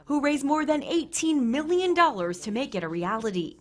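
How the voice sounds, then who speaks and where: A woman's voice: sits high, sing-song, moderate pace. An American woman reading a scripted television news report as a correspondent.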